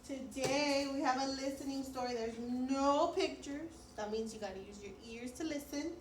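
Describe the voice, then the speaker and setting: Woman, preschool teacher, high voice, children's story-time delivery, distant mic with faint noise. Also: moderate pace, echoey room. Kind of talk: children's read-aloud and lesson chat.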